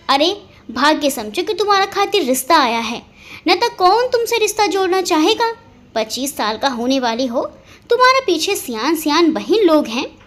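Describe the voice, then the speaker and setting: A woman voicing a bossy, sharp-tongued older great-aunt with a Bihari accent, blunt and taunting, in an audio story. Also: very high voice.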